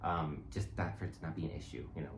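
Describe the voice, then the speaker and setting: A man co-hosting a travel vlog, chatting casually in a relaxed, halting way with many fillers. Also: a bass voice. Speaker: vlog co-host. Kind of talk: casual chat. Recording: echoey room with faint noise.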